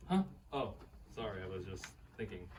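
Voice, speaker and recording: A man's voice: deep voice, normal speed. A man playing a sheepish, self-doubting husband telling his story in a live radio play reading. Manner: conversational, rueful, animated storytelling. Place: live reading at a bookshop event. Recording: distant mic, faint room noise.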